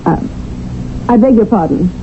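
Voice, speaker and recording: An actress playing a poised, coquettish society widow with a theatrical manner, in a 1970s radio drama on a muffled, noisy broadcast. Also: female voice, medium pitch, lively intonation, normal speed, lightly acted. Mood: shocked, disbelieving.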